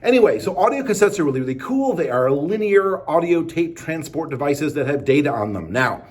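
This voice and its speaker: A man's voice: fairly high pitch, sing-song, a little fast, darkish tone. A man instructing in a casual, conversational tutorial, chatty and playful, speaking straight to the viewer and voicing their imagined questions. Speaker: instructor presenting a how-to video.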